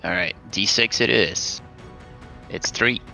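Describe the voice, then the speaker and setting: Male tabletop player, low creaky voice with a hard bright edge, casual and wry. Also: takes it slowly, faint music behind. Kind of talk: casual game chat.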